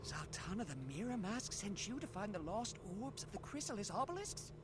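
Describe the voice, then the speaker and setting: Scholarly quest-giver creature, highly animated swoops, dramatic and grave, clear noise behind the game audio. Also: male voice, a little fast. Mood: astonished. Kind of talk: theatrical video game dialogue.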